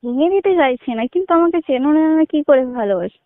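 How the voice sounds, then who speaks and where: A woman's voice: high voice, animated, fast talker, no room sound. A woman chatting casually on the phone in brief, questioning replies, over a muffled line. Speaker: prank call recipient.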